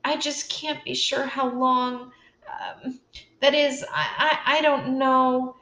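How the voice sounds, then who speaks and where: A woman's voice: high-pitched, fairly even intonation, takes it slowly, sharp tone, pitched above the performer's own voice, full character voice. An older woman reading as an earnest, determined orphan girl. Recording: roomy echo.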